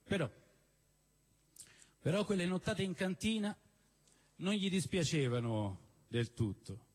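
A man reads a novel aloud on stage. His voice sits a little high for a man. He tells the story theatrically, leaning on key phrases and leaving deliberate pauses. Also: sing-song. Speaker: storyteller reading literary prose at a live show. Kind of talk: expressive dramatic reading of a novel. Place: live stage at a celebration event in a cinema venue.

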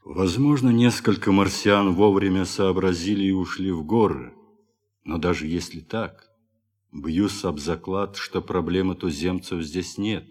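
A man acting a sombre ship's doctor and explorer in an audio drama, speaking with weighty, measured pauses. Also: sits low, a little mellow. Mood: grim, certain. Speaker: actor in audio play. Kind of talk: theatrical radio drama acting.

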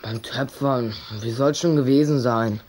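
A woman's voice: extremely low pitch, unhurried, a little mellow, slight background noise. A woman playing a curious, hesitant schoolboy, wary but cheeky, in a children's radio play. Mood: defiant, sullen. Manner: hesitant, stammering, defensive.

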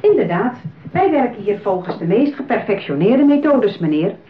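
An actress playing a smooth, patronising saleswoman in a radio play, with polished, persuasive patter. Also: medium pitch, big pitch swings, moderate pace, lightly acted. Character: smooth, patronising, eager saleswoman at a matchmaking agency. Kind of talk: radio drama acting. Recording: tinny, narrow-band old radio play.

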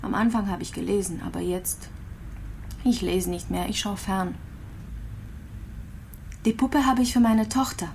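An actress playing a resigned, gravely ill young mother in a radio play, speaking in a listless, brooding way, full of self-reproach. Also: medium pitch, a little slow, long pauses, a little mellow, a little hiss or hum.

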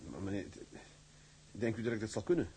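An actor playing an earnest young sailor in a radio drama, speaking respectfully and politely. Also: male voice, lowish voice, fairly quick, mellow, husky. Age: young adult.

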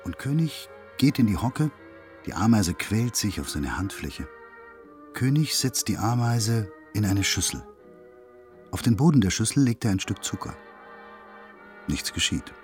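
An actor playing a precise, observant storyteller, narrating a radio drama in careful detail. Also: male voice, fairly low pitch, lively intonation, a little slow, pauses often, darkish tone, faint music behind. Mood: intent, observant.

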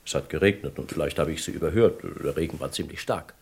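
An actor playing a cooperative kidnapping victim who hesitantly recalls details, in a vintage radio crime drama. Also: male voice, very deep voice, fast, slightly rough, full character voice. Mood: recalling.

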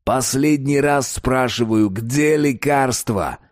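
A man's voice: medium pitch, takes it slowly, close to an everyday voice. Man playing a snarling, vicious criminal enforcer. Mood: furious threat. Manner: snarling, threatening, barking short orders. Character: vicious, menacing male criminal enforcer called Satan.

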